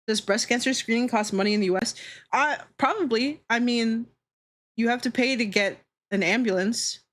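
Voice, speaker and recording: A woman livestreamer talking casually to her viewers in an animated, opinionated, sweary way. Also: a little high, moderate pace. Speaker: livestreamer hosting a Q&A.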